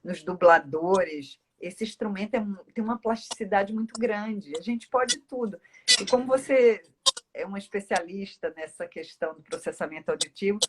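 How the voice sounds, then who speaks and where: A Brazilian woman talks freely and at length, explaining things in a casual conversational way. Her pitch sits in the middle for a woman. There is some faint noise and clicking behind her voice. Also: normal speed. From Northeast Brazil. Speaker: speech therapist, interview guest.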